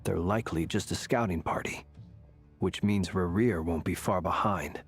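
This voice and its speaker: An actor playing a composed, courteous and analytical man in a video game, speaking formally with measured authority. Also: sits low, soft background music.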